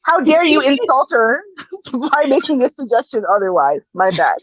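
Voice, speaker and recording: A woman's voice: high-pitched, moderate pace, no room sound, highs cut off. A Canadian woman with a faint Jamaican lilt, chatting casually on a livestream and laughing as she tells stories. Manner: laughing, animated storytelling.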